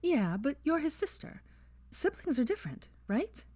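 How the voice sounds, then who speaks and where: A woman voicing a tired, worried young witch who speaks softly and hesitantly, sighing between words. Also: high voice, very animated, normal speed, no room sound, voice pushed up. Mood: weary worried.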